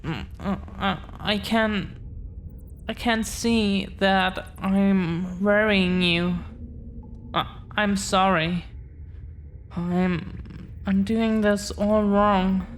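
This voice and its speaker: A woman playing an affectionate, earnest girlfriend of dragon descent in an intimate roleplay audio, speaking gently with lingering pauses. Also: mid-range voice, fairly even intonation, a little hiss or hum. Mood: nervous, apologetic. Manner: gentle, hesitant, lingering pauses between phrases.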